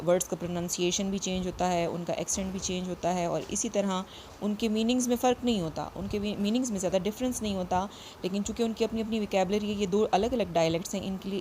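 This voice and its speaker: A woman teaching English in a voice, patient and repetitive, who slows right down for the English definitions. Her pitch sits mid-range for a woman. Faint noise hums behind her. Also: darkish tone. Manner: explains patiently, repeats points, reads English lines slowly. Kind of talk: bilingual lesson, reading definitions slowly.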